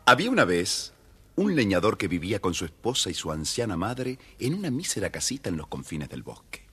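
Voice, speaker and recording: A man narrating a children's fairy tale as a theatrical, husky-voiced storyteller. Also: on the low side, wildly swooping pitch, brisk, fairly bright tone. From Argentina.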